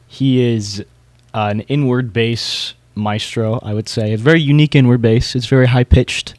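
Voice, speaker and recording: A man's voice: medium pitch, steady tone, moderate pace, a little mellow, no echo. A man co-hosting a podcast, giving casual hobbyist commentary in a conversational way. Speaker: podcast co-host.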